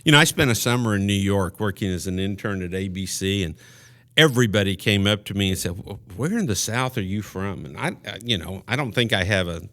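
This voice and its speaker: A man's voice: fairly low pitch, lively intonation, brisk, fairly bright tone. A man co-hosting a podcast, speaking in loose casual chat. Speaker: podcast co-host.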